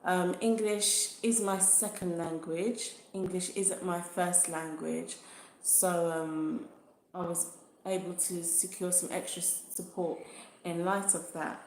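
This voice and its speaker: A woman vlogger talking earnestly and thoughtfully about her own experience, in an unhurried way. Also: fairly low pitch, fairly even intonation, a little hiss or hum. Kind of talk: personal experience vlog. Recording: echoey room.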